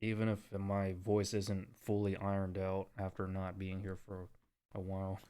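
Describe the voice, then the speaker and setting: A man hosting an ASMR roleplay stream, chatting softly to his listeners. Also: sits low, completely flat delivery, normal speed, clean tone. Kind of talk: soft ASMR roleplay chat.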